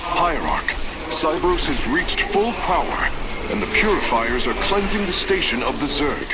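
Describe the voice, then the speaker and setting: Animated alien engineer, game character. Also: male voice, a little high, unhurried, very noisy. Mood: excited. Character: eager male alien engineer reporting station systems. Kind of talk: dramatic video game voice acting.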